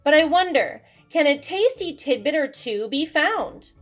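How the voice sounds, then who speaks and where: A haughty storybook witch, as a woman reader puts her on. The voice is high and very lively, with theatrical exclamations and stretched words. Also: faint music behind. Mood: savoring, musing. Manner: drawn-out, exclaiming, dramatic. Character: haughty female witch, theatrical, pleased with her cauldron brew. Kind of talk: children's storybook character reading.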